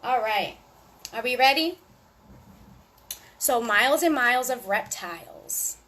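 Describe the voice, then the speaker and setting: A woman hosting a storytime for young children, reading aloud in a sing-song, deliberate way with little asides to her listeners. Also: fairly high pitch, lively intonation, bright, forceful tone, slight background noise.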